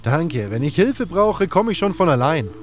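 A man's voice: on the high side, very animated, normal speed, faint music behind, voice pushed up. A man acting a defensive, sarcastic mercenary hero in a fantasy audio drama. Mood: defensive, irritated.

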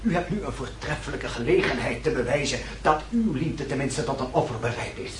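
A man's voice: medium pitch, animated, a little fast, natural voice. A man playing a cold, courtly, scheming French count in a radio play, speaking formally and with unyielding suavity. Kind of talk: Dutch radio play acting. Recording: roomy, distant, hissy old radio recording.

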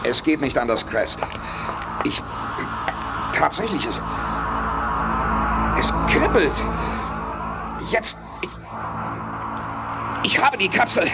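An actor playing a calm, decisive male space commander who keeps reassuring his crew in a radio drama. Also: on the high side, takes it slowly, long pauses, exaggerated voice. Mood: straining. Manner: steady, reassuring, takes charge. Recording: loud music bed behind the voice.

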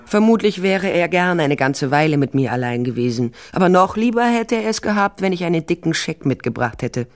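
A woman's voice: lowish voice, expressive, fairly quick, few pauses. An actress playing a shrewd, wry investigator who narrates her case in a dry, matter-of-fact way in a radio crime drama.

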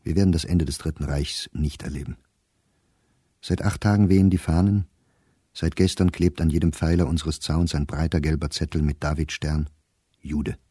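Radio play diarist: educated, bitter, reflective middle-aged man; dark tone, very dry close studio sound. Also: rumbling low, steady tone, moderate pace, breathy edge, dry recording. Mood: bleak. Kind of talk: radio play, literary diary reading.

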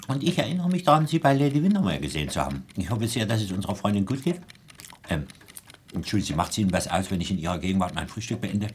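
A genial, boastful male anarchist craftsman in a radio play. His very deep voice rises and dips freely as he lingers over words with effusive courtesy. Clear noise sits behind the recording. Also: big pitch swings, rapid-fire. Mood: jovial, hospitable. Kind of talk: German radio play acting.

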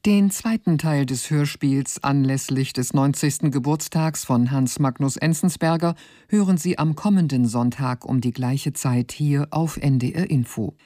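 A continuity announcer delivers a scripted programme notice for radio. The voice is mellow and relaxed, recorded close and dry in a studio. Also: medium pitch, unhurried, hardly any pauses, no room sound.

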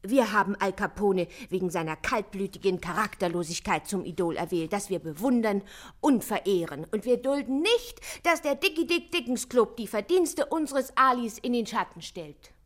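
A woman's voice: mid-range voice, expressive, moderate pace, natural voice. Woman playing an earnest young club spokeswoman. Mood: indignant. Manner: earnest, declamatory. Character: indignant, solemn young female gangster fan club spokeswoman. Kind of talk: 1950s German radio crime satire acting.

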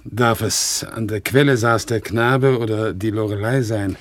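An actor playing a proud, dutiful one-legged war veteran who works as an organ grinder, speaking in a solemn, deferential way in a radio play. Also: male voice, fairly low pitch, a little slow, natural voice. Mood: obsequious. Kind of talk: 1960s radio play acting. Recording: faint music behind, older radio play recording.

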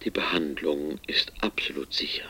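A man's voice: rumbling low, moderate pace, bright, forceful tone, slightly rough, whispered, heavily acted, rough recording. A male actor in a radio play, playing an insistent research director with a formal, probing, coldly courteous manner. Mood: smooth persuasion. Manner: formal, probing, hesitant politeness.

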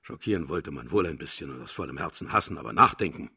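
A man's voice: very deep voice, lively intonation, quick pace, vocal fry, bright, forceful tone, breathy edge, exaggerated voice. A man playing a vain, contemptuous philosopher in a radio play, declaiming and lecturing grandly. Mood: scornful. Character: pompous, cynical male philosopher, vain and contemptuous. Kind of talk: German radio play acting.

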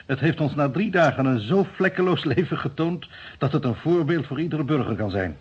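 Police colleague, very raspy mid-pitched voice, measured and sceptical, old radio drama with dull sound. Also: male voice, expressive. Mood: matter-of-fact. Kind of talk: radio play acting. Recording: muffled old radio play recording.

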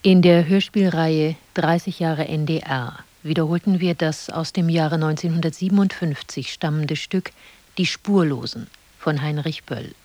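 A woman's voice: low-pitched, unhurried, a little mellow, dry recording. A woman radio announcer reading scripted broadcast credits in a formal manner.

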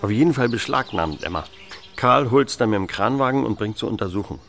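A gruff, officious village policeman in an audio drama. His low voice crackles with gravel and his phrases come clipped. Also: lively intonation, fairly quick, fairly bright tone. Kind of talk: German audio drama acting.

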